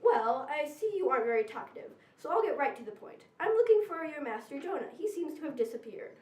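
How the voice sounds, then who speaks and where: A woman's voice: sits high, big pitch swings, fast, warm tone, reverberant. A woman playing an earnest, inquisitive detective in an amateur audio drama.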